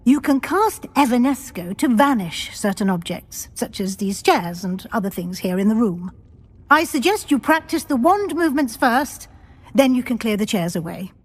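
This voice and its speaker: An actress playing a brisk, encouraging British witch professor in a video game, composed and instructive. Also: fairly high pitch, animated. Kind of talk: video game dialogue acting.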